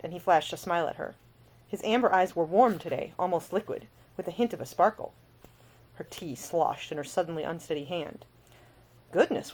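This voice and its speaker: A woman narrates scripted fiction as its author. Her voice sits a little low but moves with plenty of melody. The delivery is expressive storytelling. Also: low-quality recording. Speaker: author reading own audiobook.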